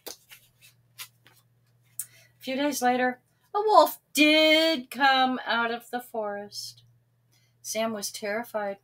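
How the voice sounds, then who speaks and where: A woman reading a children's story aloud expressively, pausing now and then to address young listeners. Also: high-pitched, fairly bright tone. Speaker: storybook reader.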